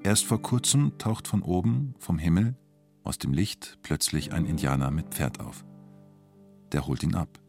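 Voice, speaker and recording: Tiefer, nüchterner Hörspiel-Erzähler. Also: male voice, low voice, expressive, measured pace, vocal fry, over music, exaggerated voice. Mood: sober, reflective. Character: sober male narrator and formal police interrogator. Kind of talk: scripted radio drama narration.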